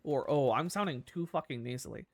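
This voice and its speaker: A man's voice: mid-range voice, brisk, no echo. A man with a nasal voice, rambling in casual commentary over gameplay as a gaming YouTuber, swearing often and laughing at himself.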